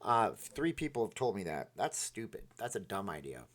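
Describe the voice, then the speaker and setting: A man's voice: fairly low pitch, sing-song, moderate pace, fairly bright tone. A man hosting a casual livestream, reading out chat messages and rambling with lots of fillers and restarts. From part Ukrainian, Polish, Irish, Scottish heritage.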